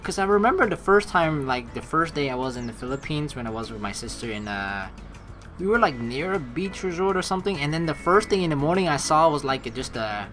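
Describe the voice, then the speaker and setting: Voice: mid-range voice, lively intonation, brisk. A let's play host from the Netherlands, reading a visual novel aloud and adding casual commentary. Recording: game music behind the voice. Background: game music.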